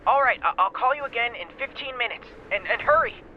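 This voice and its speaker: A man voicing an anxious, hurried companion over a radio communicator in an audiobook. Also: very high voice, expressive, pitched above the performer's own voice. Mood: hurried concern. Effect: radio communicator filter. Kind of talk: audiobook character acting. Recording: tinny radio filter, faint noise.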